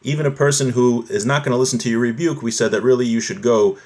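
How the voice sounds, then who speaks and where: Male religious instructor, mid-pitched voice, didactic delivery, moving between reading a text and explaining it in plain rephrasings. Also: steady tone, quick pace, a little mellow. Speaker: religious teacher. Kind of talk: live class, reading a text and translating and explaining it.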